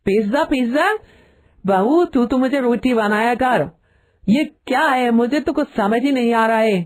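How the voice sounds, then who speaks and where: A woman's voice: a little high, unhurried, lightly acted. A woman voicing a blunt, grumbling older mother-in-law in a cartoon family story. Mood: scolding. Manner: blunt, nagging. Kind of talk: cartoon story character acting.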